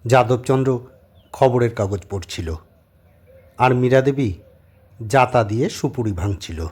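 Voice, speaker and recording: A man with a husky voice reading scripted dramatic narration as the storyteller of an audio story. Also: medium pitch, a little slow, a little mellow.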